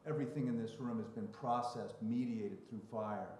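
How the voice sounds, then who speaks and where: Man, moderator, mid pitch, reflective and expansive, thinking aloud, echoey event room. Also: steady tone, normal speed, a little mellow, a little hiss or hum. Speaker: moderator and writer. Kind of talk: live panel conversation. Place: public library event room. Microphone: handheld or stand mic. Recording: roomy echo of a live event.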